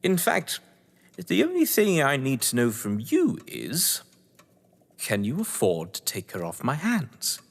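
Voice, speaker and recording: An actor playing a suave, sardonic upper-class English novelist, wordy and condescending, in a theatrical audio drama. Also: male voice, medium pitch, huge pitch swings, a little slow, creaky, full character voice. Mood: cutting, sardonic. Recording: echoey hall-like reverb.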